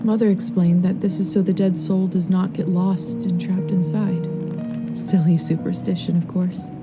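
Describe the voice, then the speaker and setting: A woman's voice: on the low side, flat delivery, moderate pace, lightly acted. An actress playing a rational young woman, a Victorian doctor's apprentice, speaking earnestly and formally in a video game. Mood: dismissive. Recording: game audio under loud music.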